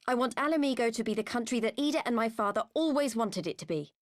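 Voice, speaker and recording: Earnest young woman fighter, high voice, acted. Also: expressive, fast talker, no room sound, studio quality, natural voice. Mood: resolute. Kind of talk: video game cutscene voice acting.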